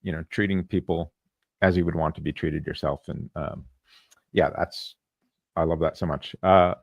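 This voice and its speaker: A man hosting a casual interview, thinking aloud in a halting, reflective way. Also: sits low, steady tone, creaky, dry recording. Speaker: podcast host.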